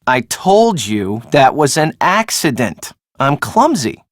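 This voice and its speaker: A woman's voice: a bass voice, sing-song, slow pace, no echo, studio quality, full character voice. An actress playing a breezy, sarcastic young woman in a video game, talking in a casual, slangy way. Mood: defensive. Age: young adult. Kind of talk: video game dialogue acting.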